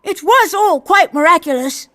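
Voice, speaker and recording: A curious young apprentice boy from an animated game. The voice is strikingly high, earnest and inquisitive. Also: moderate pace. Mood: curious, admiring. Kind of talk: animated game cutscene acting.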